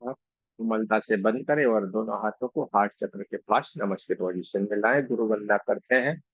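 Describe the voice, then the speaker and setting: A man guiding a meditation in a gentle, unhurried, devotional way, giving instructions phrase by phrase with long pauses. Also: fairly low pitch, husky, no room sound, highs cut off. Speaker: meditation guide and Reiki teacher. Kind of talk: guided meditation, slow spoken instruction with pauses.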